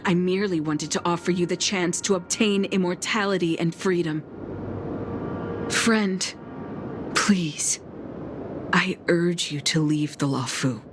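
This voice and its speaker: Woman acting proud cult leader, lowish voice, slow formal delivery, calm, solemn, game cutscene, music behind. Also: noisy background, close to an everyday voice. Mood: solemn, earnest. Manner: formal, solemn, measured speech. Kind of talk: dramatic video game voice acting. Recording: loud background music under voice.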